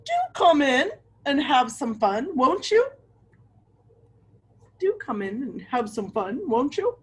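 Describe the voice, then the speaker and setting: A posh storybook walrus, played by a woman, hearty and courteous. The jovial club host lilts up high in an old-fashioned, inviting way. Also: very animated, slow, breathy edge, exaggerated voice. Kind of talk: picture-book read-aloud character voice.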